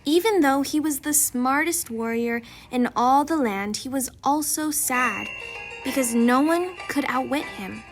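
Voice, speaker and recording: Slow, theatrical woman storyteller over music. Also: high-pitched. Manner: theatrical, mock-epic delivery. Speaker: children's picture book narrator. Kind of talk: dramatic storybook read-aloud.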